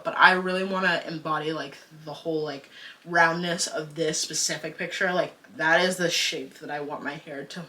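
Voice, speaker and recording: A woman's voice: low voice, sharp tone. A young woman, chatting casually and animatedly in a vlog, slangy and joking about herself. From United States. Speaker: vlogger and podcaster.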